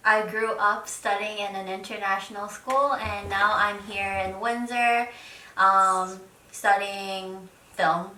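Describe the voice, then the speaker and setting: Young woman from hong kong, japanese first language, slow hesitant interview answers, echoey and off the mic. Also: mid-range voice, fairly even intonation, bright, forceful tone, a little hiss or hum. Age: young adult. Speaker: guest, international film student. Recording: echoey room, distant microphone.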